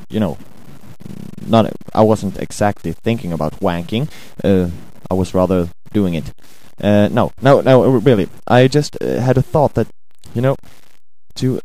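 A young Swedish man with a Swedish accent, hungover, rambling crude thoughts into a home mic in a hesitant, deadpan way. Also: lowish voice, rough recording. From Sweden. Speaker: amateur audio ranter. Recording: home recording with buzz and music.

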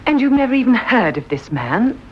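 An actress playing an excitable, superstitious friend who gushes and frets, in a lo-fi old radio drama. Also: female voice, a little high, brisk, a little hiss or hum. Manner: gushing, fretful, emphatic. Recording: dull lo-fi old radio recording.

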